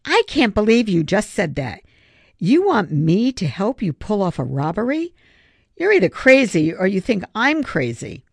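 A woman's voice: mid-range voice, very animated, a little slow, breathy edge, no echo, pitched above the performer's own voice, heavily acted. A woman voicing an indignant schoolgirl animal lover who scolds emphatically in an audiobook story. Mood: furious, outraged.

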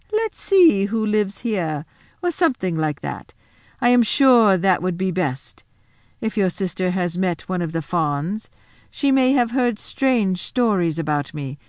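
A woman voicing a cold, scheming queen who speaks slowly and coaxingly. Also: on the low side, a little mellow, no room sound, studio quality. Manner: slow, coaxing and commanding.

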